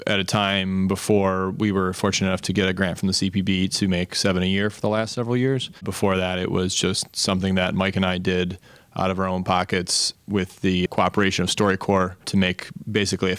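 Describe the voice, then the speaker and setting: Guy animator in an interview, very low smooth voice, really dry close sound. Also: level tone, brisk, fairly bright tone, no room sound. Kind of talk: interview explanation.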